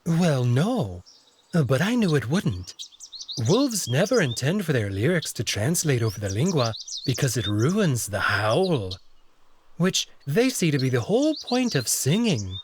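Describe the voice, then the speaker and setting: A man voicing a dainty, refined young male alien courtier in a visual novel, polite, measured and coy. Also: mid-range voice, very animated, slight background noise. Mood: polite, informative. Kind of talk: visual novel character acting.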